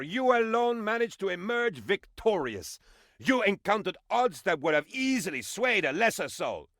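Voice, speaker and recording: Video game advisor: fawning, melodramatic right-hand man of an island leader, lively swooping delivery, dry close sound. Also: sits high, dry recording, exaggerated voice. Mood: triumphant, awed. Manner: theatrical, flattering, melodramatic. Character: excitable, fawning male presidential advisor on tropical island. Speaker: video game character voice actor. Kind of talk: animated video game voice lines.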